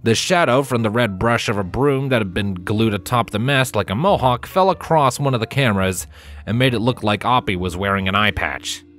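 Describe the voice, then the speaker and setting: A man's voice: fairly low pitch, lively intonation, a little fast, fairly bright tone, soft background music. A man narrating a scripted story as an audiobook-style reader.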